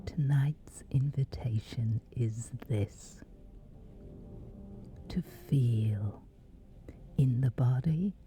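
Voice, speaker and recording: A woman guiding a sleep meditation in a hushed, breathy near-whisper, pausing between short phrases. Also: rumbling low. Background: background music.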